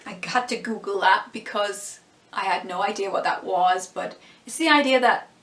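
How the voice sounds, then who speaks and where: A woman's voice: medium pitch, normal speed, a bit bright, echoey room. A woman vlogger talking through her thoughts on a TV series, musing and restarting her sentences, with a voice she says is going. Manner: thoughtful, musing, frequent restarts and small laughs. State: losing her voice. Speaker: vlogger reviewing a TV show. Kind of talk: casual vlog commentary.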